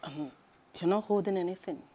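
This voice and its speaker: A woman's voice: on the low side, a little fast, dark tone, husky. An actress playing a stern, imperious mother-in-law with a Nigerian accent, scolding and commanding in a film drama. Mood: suspicious, reproachful.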